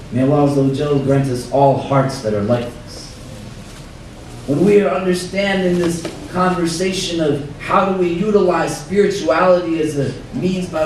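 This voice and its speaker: Hoarse imam in an echoey hall. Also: male voice, fairly high pitch. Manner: measured, reflective, quotes Arabic scripture. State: hoarse, losing his voice. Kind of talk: religious talk at a dinner. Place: banquet hall. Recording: roomy far-off hall recording with faint noise.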